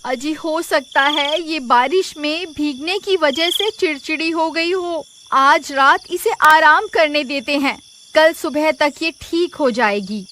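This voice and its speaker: Woman acting an anxious, high-pitched village wife. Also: takes it slowly, noisy background. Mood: concerned, soothing. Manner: worried, respectful questioning. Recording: loud animal ambience behind.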